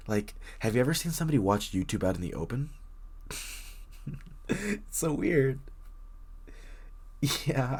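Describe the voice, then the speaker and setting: A man playing a very shy, friendly young writer in an intimate coffee shop roleplay, speaking hesitantly with nervous stammers and a husky voice. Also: sits low, full character voice. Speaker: audio roleplay voice actor. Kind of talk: intimate one-sided roleplay acting, casual chat.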